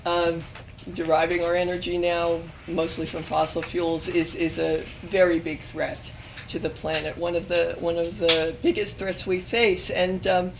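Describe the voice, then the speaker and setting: A woman in her fifties, hoarse and losing her voice, speaking on an alumni panel at a university event, with many pauses and restarts. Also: lowish voice, level tone, background noise. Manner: hesitant, many ums and restarts. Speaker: panel speaker, magazine editor. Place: university event room with audience.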